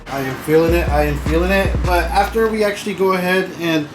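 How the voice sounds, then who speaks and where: A man's voice: sits high, fairly even intonation, a little fast, music in the background. A man hosting a casual DIY build video, talking informally and with slang to his viewers as he explains the work. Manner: informal and chatty, slangy, addresses viewers as guys. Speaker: DIY car audio builder and video host. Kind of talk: casual how-to vlog narration to viewers.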